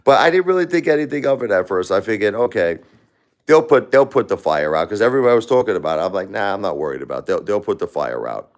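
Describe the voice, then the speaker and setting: Deep-voiced New man, rambling livestream talk. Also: expressive, quick pace. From Brooklyn, New York. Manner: rambling, repeats words and restarts, addresses chatters by name. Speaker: livestream host. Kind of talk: casual livestream chat with viewers.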